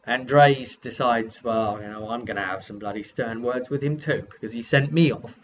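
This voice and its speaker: A man's voice: mid-range voice, normal speed. A man casually recounting a tabletop role-playing session as game master, in a rambling way. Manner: rambling, acts out quoted dialogue informally. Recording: dull lo-fi audio with background noise.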